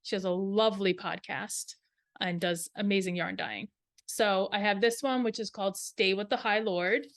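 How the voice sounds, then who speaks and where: A woman's voice: mid-range voice, steady tone, normal speed. A woman co-hosting a craft podcast, talking casually in a show-and-tell style and rambling as she thinks aloud. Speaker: knitting podcast co-host.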